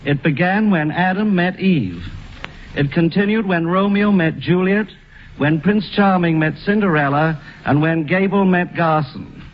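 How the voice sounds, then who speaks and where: Formal man, fairly high voice, old radio. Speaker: theatrical producer introducing the play. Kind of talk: scripted 1940s radio introduction. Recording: dull vintage radio, faint noise.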